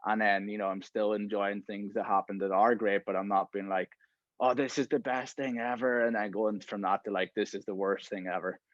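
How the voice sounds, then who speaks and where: A man in his thirties talks through a podcast conversation in a relaxed, rambling way, tossing in "right?" and "you know" as he goes. His voice sits on the low side. The recording is dry and somewhat muffled. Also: a little fast, no echo. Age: 30s. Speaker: podcast host. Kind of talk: casual podcast chat.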